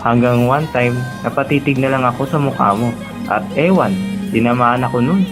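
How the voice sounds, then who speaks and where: A man with a Filipino accent playing a gentle, lovelorn young man who speaks earnestly and tenderly in a romantic radio drama. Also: mid-range voice, moderate pace, close to an everyday voice. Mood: loving confession. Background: music.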